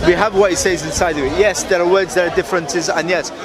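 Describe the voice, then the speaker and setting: A man in a public debate, explaining earnestly at length with halting restarts. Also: sits high, fairly even intonation. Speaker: street debater. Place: outdoor public speaking spot. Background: crowd of onlookers.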